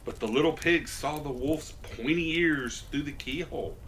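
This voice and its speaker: A man's voice: on the high side, moderate pace, a bit bright, a little hiss or hum. A man reading a children's story as Santa, in a folksy, theatrical way.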